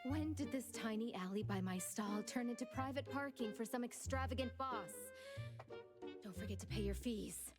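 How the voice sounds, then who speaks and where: A grumpy woman boss from a video game, acted by a woman, with a voice on the high side. She is dry, sarcastic and bossy, with an eye on money. Music is clearly audible behind her. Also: normal speed, natural voice. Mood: sardonic. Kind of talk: scripted video game dialogue.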